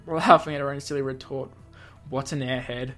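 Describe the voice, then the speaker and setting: Male let's play host, reading narration and dialogue with loose asides and stumbles, music underneath. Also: mid-range voice. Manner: stumbles over words, breaks off into asides. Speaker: gaming host. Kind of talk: let's play, reading visual novel aloud with reactions. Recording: music behind the voice.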